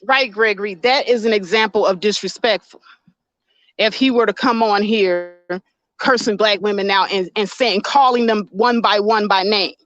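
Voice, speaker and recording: A woman's voice: mid-range voice, normal speed, fairly bright tone, no room sound. Blunt, powerful-voiced woman streamer, dull dry audio. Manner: blunt and argumentative, reading chat aloud. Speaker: livestream host. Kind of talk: casual livestream commentary.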